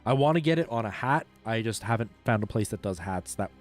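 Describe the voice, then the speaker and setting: Guy streaming a game, laid back informal chat, kinda halting, thinks out loud, music in the background. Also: lowish voice, expressive. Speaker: live streamer. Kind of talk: casual chat while gaming. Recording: music playing behind the voice.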